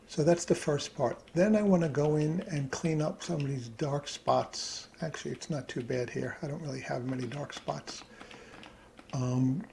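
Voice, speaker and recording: A man with a gravelly, creaky voice talks through a casual screen-recorded tutorial. He thinks aloud as he works. There is light background noise with some keyboard clicking behind him. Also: on the high side, a little mellow. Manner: thinks aloud while working at computer. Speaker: hobbyist presenter.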